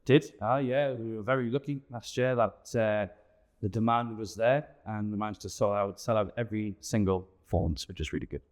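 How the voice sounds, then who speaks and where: A man's voice: lowish voice, brisk, darkish tone. A man giving casual interview answers as an amateur theatre director.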